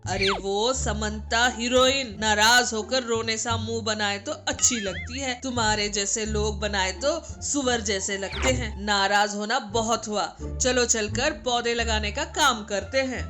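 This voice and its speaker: Older magical mother-in-law, acted by a woman: sharp-tongued, preachy, scolding, cartoon moral tale with music bed. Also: fairly high pitch, steady tone, a little slow, few pauses. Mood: mocking. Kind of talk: animated moral comedy story voice acting. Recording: background music under the voice.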